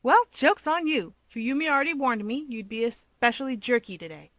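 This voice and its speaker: A woman's voice: on the high side, animated, normal speed, fairly bright tone, no echo, voice pushed up. A woman voicing a cocky, insistent young male hero from a fan story, with a taunting, emphatic delivery. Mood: forced amusement.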